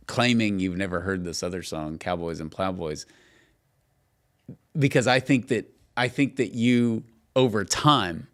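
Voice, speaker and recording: A middle-aged man co-hosting a podcast, telling stories and teasing in casual, emphatic, filler-heavy banter. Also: fairly low pitch. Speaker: podcast co-host.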